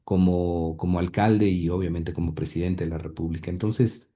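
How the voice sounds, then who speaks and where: A man's voice: sits low, steady tone, moderate pace, warm tone. A man answering interview questions about his late grandfather, speaking hesitantly with many fillers and repeated words. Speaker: interview guest, grandson of the subject.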